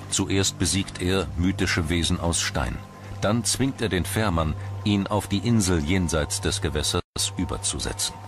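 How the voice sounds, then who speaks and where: A man reading scripted documentary narration in a measured, dramatic storytelling manner. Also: sits low. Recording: music bed behind the voice. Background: music.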